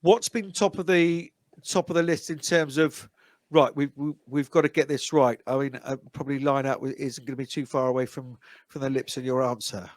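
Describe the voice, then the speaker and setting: A man's voice: medium pitch, steady tone, normal speed, dry recording. A New man hosting a rugby podcast, asking rambling questions with many stuttered restarts. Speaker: podcast host. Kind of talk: casual interview questions.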